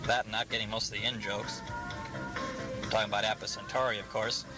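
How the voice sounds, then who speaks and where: A man giving casual, rambling commentary in a halting, self-deprecating way. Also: on the low side, level tone, over loud music, low-quality recording.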